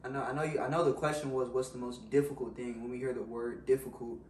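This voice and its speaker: A young man with a slightly raspy voice talks frankly, often checking in with a quick "you know what I'm saying." His pitch sits in the middle for a man. The sound comes from across a roomy living room with a faint hiss. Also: level tone, a little mellow, distant mic. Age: young adult. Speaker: guest in a discussion. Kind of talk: casual reflective chat. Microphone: far camera.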